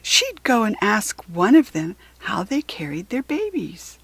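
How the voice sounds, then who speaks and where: Animated, husky woman reading a storybook. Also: medium pitch, a little slow, no echo. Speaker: children's storybook reader. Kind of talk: expressive read-aloud narration for children.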